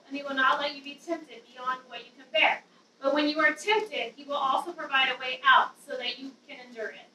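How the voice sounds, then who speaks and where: A woman in her thirties nervously sharing a personal testimony to a youth group, with a loud voice and many ums. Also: sits high, a little hiss or hum. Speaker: guest speaker giving a testimony. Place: dim church youth room with an audience. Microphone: handheld mic, far camera. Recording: roomy hall sound from a distance.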